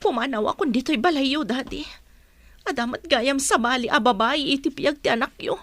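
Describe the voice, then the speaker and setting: A woman's voice: sits high, moderate pace, fairly bright tone. A woman acting a hurt, betrayed wife in a radio drama, plaintive and melodramatic. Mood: hurt, resolute. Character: hurt, betrayed wife leaving her in-laws' home.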